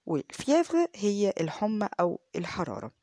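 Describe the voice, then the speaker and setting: A woman's voice: medium pitch, sing-song, darkish tone. Egyptian woman teaching French phrases. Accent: Egyptian Arabic. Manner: explains in quick Arabic, repeats French phrases slowly and clearly. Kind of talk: language lesson, reading dialogues aloud.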